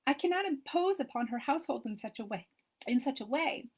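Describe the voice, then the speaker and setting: A woman's voice: high-pitched, animated, fairly quick, a little mellow, breathy edge. A woman voicing a wispy, girlish young lady. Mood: pleading.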